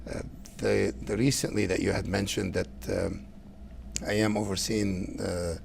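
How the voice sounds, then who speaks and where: A middle-aged man from Lebanon, speaking English, answering interview questions earnestly and haltingly, with many fillers. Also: lowish voice, little pitch movement, vocal fry, slight background noise. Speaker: guest, council candidate.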